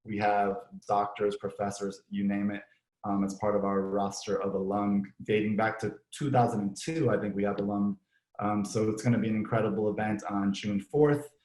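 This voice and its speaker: A slightly hoarse man speaks in a low, flat voice, offering unscripted thanks at the close of an online ceremony. He restarts phrases often, fills pauses with ums, and sounds recorded in a roomy, echoing space. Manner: relaxed, many ums and restarts. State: slightly hoarse, clearing throat. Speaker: host of an organization's virtual ceremony. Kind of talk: unscripted closing remarks and thank-yous. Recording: echoey room.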